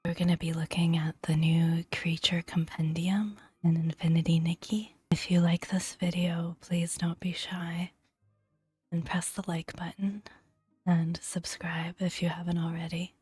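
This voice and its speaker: Soft breathy woman, slow ASMR reading. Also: on the low side, flat delivery, no echo, soft background music. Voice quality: soft, breathy, often near-whispered. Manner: gentle, unhurried, with long pauses between phrases. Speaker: gaming video host. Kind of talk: soft-spoken ASMR, reading game entries aloud with casual asides.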